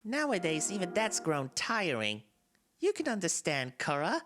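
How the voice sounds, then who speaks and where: A woman's voice: lowish voice, very animated, measured pace, a bit bright, voice pushed down. A woman voicing a soulless, bored talking flower in a dramatic game fan dub, confiding and theatrical, swinging between wistful and menacing. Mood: weary, intense. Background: game soundtrack music.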